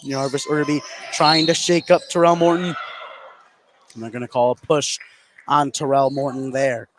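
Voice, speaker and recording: A man doing live play-by-play basketball commentary on radio, getting excited on big plays. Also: mid-range voice, moderate pace. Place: indoor school basketball gym. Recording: noisy gym ambience behind.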